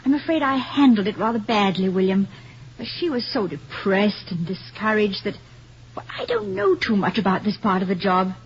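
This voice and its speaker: Casting: a fond, teasing, witty wife in radio comedy-drama; animated delivery, dull hissy old recording. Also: female voice, medium pitch, normal speed. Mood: troubled, gentle. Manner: affectionate, playful, gently arch. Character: warm, witty, teasing wife of a college president.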